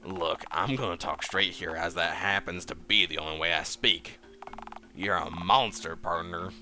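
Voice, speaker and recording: A man's voice: sits low, fairly even intonation, moderate pace, sharp tone, soft background music, voice pushed down, full character voice. A man voicing a blunt, plain-spoken frontier cowboy in a game, with an American Southern accent. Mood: stern, righteous. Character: gruff, blunt male cowboy gunslinger, frontier normie.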